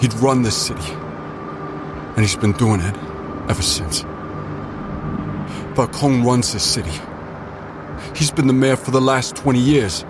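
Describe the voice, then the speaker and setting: A man's voice: mid-range voice, unhurried, pauses often, background noise, close to an everyday voice. A man playing a cynical, corrupt insider in an audio drama, speaking in blunt, bitter, clipped phrases. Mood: bitter, confessing. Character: cynical male insider confessing citywide corruption. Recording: very loud music under the voice.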